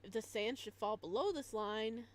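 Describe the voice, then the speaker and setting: Woman, game streamer, higher-pitched voice, casual, chatty, reading text aloud. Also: normal speed.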